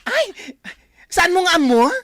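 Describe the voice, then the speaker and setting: A woman playing an excitable, easily impressed village gossip in a radio comedy drama, exclamatory and drawn-out, with an Ilocano accent. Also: very high voice, no room sound, exaggerated voice. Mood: eager.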